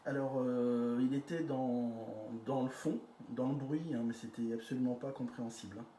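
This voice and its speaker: Guy doing ham radio chat, drawn out and halting, roomy sound, noisy. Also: medium pitch, fairly even intonation, mellow. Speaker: amateur radio operator. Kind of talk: casual radio group chat. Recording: echoey, clearly noisy radio session.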